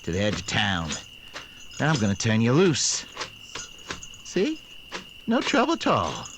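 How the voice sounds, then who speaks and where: An actor playing a coolly menacing western outlaw who gives slow, clipped orders in a radio drama. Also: male voice, lowish voice, huge pitch swings, full character voice. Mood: cool threat.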